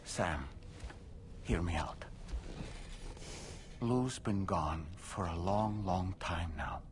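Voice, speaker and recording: An actor playing a composed middle-aged therapist who breaks hard news in a calm, measured way, in a video game cutscene. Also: male voice, low-pitched, a little hiss or hum, full character voice.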